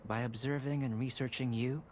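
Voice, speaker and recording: A man voicing a young alchemist scholar in a video game, measured, formal, curious and detached. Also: medium pitch, fairly even intonation, darkish tone, slight background noise, lightly acted. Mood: intrigued. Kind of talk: video game character dialogue. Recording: music behind the voice.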